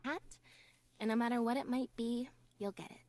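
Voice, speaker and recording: An actress playing a calm, deadpan young female magician's assistant with a robotic mindset in a video game. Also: on the high side, moderate pace, close to an everyday voice. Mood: cool, composed. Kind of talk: scripted video game character lines.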